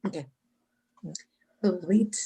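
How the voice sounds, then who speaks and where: A woman's voice: fairly low pitch, takes it slowly, dark tone, breathy edge. A woman hosting an online community event over a video call, speaking with some hesitation. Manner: hesitant, many fillers. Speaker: event host.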